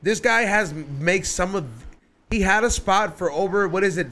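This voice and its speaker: A man hosts a casual podcast from a small neon-lit studio. He swears freely and pushes his voice up for punchlines. His tone is bright and fairly high, recorded close on a studio mic. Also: moderate pace. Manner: loud, swearing storyteller who raises his voice for punchlines. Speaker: podcast host. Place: small studio room decorated with neon signs. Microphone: studio mic on boom arm, wearing headphones.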